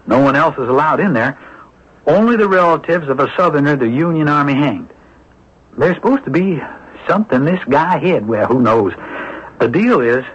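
An actor playing a nervous, folksy small-time ex-convict who is eager to please, speaking colloquially in a 1970s radio drama. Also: male voice, fairly high pitch, slightly rough. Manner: colloquial, ungrammatical speech. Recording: dull 1970s radio broadcast recording.

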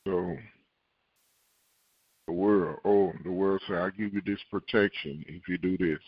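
A man preaching a sermon, reading scripture and acting out worried believers in a raised voice, repeating key lines slowly for emphasis. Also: sits low, fairly even intonation, breathy edge, no echo, lo-fi sound. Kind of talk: podcast sermon.

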